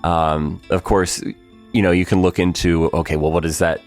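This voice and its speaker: Very deep-voiced thoughtful man. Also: flat delivery, moderate pace, faint music behind. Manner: thoughtful, rambling, many fillers, laughs. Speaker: podcast co-host. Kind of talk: casual behind-the-scenes discussion.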